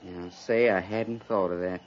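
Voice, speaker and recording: Folksy, wry cavalry sergeant, muffled radio. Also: male voice, low voice, steady tone, unhurried. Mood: sardonic. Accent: rustic American. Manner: drawling, sardonic understatement. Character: folksy, wry frontier cavalry sergeant, grumbling but loyal. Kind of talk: 1950s radio western acting. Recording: dull, noisy 1950s radio recording.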